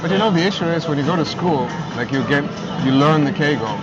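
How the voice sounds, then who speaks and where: Mid-pitched man, chatty, lo-fi street audio. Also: fast talker. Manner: chatty, many "like" fillers and restarts. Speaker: interviewee, Japanese learner. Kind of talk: casual street interview answers. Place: outdoors on a busy city street. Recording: lo-fi street audio with loud music.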